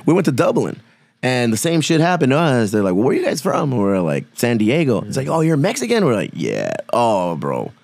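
A man in his thirties hosting a podcast in a small studio, bantering loudly and mixing in Spanish slang. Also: mid-range voice, wildly swooping pitch, brisk. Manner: loud, profane, laughing banter with Spanish slang. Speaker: podcast host. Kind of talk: casual chat. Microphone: studio mic on boom arm.

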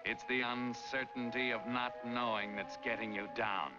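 Actor as sinister film noir narrator, music underneath. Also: male voice, mid-range voice, steady tone, close to an everyday voice. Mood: taunting. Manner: measured, ominous, sardonic. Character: knowing, taunting, sinister omniscient narrator. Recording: muffled 1940s film soundtrack under music.

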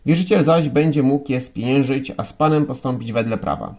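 A man's voice: medium pitch, a little fast, a little mellow, voice pushed up, lightly acted. A man voicing a condescending, officious office clerk in an audiobook, formal and smug. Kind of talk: audiobook character acting.

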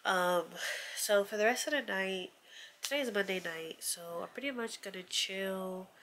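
A woman's voice: medium pitch, a little slow, slight background noise. A woman in her twenties with a stuffy nose from allergies, chatting casually to the camera in a small bedroom. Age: 20s. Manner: relaxed, chatty, many fillers. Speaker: vlogger. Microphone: camera close up on a desk.

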